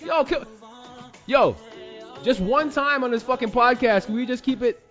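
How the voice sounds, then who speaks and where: A man's voice: extremely high pitch, moderate pace, a bit bright, lo-fi sound, faint music behind. A man co-hosting a casual podcast, joking around and swearing freely. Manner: joking, brash, profane. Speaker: podcast co-host.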